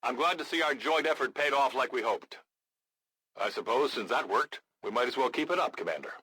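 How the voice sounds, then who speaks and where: An actor playing a gruff, blunt, battle-hardened resistance leader in a video game, with a gravelly voice and a measured, grave manner. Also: male voice, medium pitch, animated, pushed and bright, dry recording, low-quality recording. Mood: businesslike. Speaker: military faction commander in a video game. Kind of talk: scripted video game voice acting.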